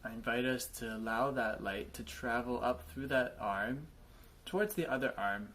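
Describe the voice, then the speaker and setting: A man leading a guided body scan meditation, speaking in gentle invitations with frequent pauses. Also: mid-range voice, slight background noise.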